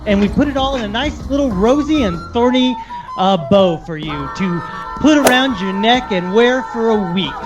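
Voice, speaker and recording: A man's voice: high voice, expressive, moderate pace, dull audio. A man co-hosting a show in casual, joking, play-acting chat. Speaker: show co-host. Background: music.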